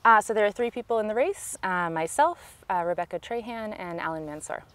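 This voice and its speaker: A woman with a Californian American accent giving measured, explanatory answers in a conversational interview as a local political candidate, recorded outdoors. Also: medium pitch, no echo. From California, United States. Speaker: city council candidate, interviewee. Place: outdoors in a park.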